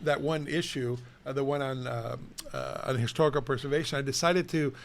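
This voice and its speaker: A middle-aged man with a raspy voice, co-hosting a casual local chat show and telling stories in an animated, chatty way. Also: mid-range voice, fairly quick, slight background noise. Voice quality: raspy today. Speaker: co-host, city official.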